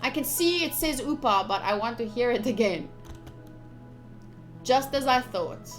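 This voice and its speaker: A young woman streaming a visual novel, reading the text aloud with casual, gushy, expressive commentary. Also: a little high, a little slow. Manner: expressive, gushy, slips into cute exclamations. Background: soft game music.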